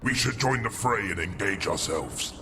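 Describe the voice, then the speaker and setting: A man's voice: low voice, expressive, normal speed, background noise. A man voicing a dying, agitated warrior captain with a growling voice in a dramatic sci-fi audio story. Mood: restless, eager.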